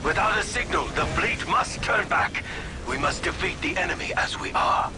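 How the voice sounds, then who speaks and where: High-pitched, urgent battlefield commander. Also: male voice, wildly swooping pitch, moderate pace, background noise. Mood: urgent, grim. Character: desperate male battlefield commander under heavy attack. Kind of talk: dramatic video game acting.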